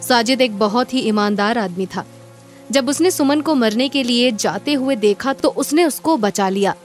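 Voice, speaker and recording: A woman's voice: a little high, moderate pace, soft background music. A woman narrating a scripted moral story as a storyteller.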